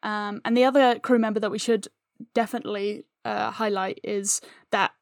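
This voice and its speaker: A woman's voice: medium pitch, fairly even intonation, normal speed, creaky, dry recording, studio quality. A woman speaking casually as an interview guest, with a wry, self-deprecating way of talking. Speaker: podcast guest, writer.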